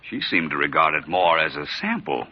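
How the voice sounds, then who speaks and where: An actor playing a suave, witty amateur detective in 1940s radio drama style, urbane and precise. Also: male voice, extremely low pitch, big pitch swings, brisk, heavy background noise. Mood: dryly amused. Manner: urbane, precise, coolly reasoning. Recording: dull vintage radio recording.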